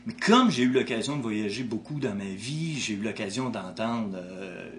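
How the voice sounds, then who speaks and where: A man's voice: fairly low pitch, lively intonation, moderate pace, crowd noise. A man with a Québécois French accent, an author answering interview questions in a thoughtful way, with frequent restarts. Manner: thoughtful, with many restarts and hesitations.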